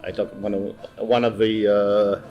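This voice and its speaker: A man with a Greek accent, a guest chatting in a bar, speaking haltingly with many fillers and restarts. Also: fairly low pitch, level tone, lo-fi sound, faint music behind. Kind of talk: casual chat.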